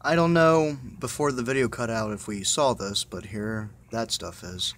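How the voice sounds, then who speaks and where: A man's voice: medium pitch, normal speed, a bit bright. Guy muttering and swearing through a horror game, fed up, noisy phone recording. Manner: muttering, exasperated, heavy swearing. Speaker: gamer playing a horror game. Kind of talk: casual let's-play commentary.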